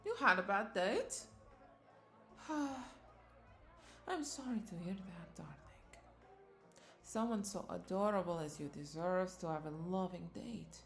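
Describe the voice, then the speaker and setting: Slow, coaxing vampire bartender played by a woman. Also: lowish voice, expressive. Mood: tender, consoling. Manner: coaxing, affectionate, lingering over words with soft chuckles. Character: flirty, doting female vampire bartender, soothing and possessive. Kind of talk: roleplay audio drama addressed to the listener.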